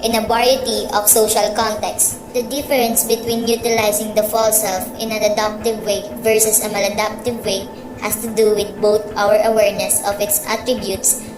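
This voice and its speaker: A woman's voice: medium pitch, little pitch movement, normal speed, background noise. A woman reading scripted narration aloud. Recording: echoey room with music.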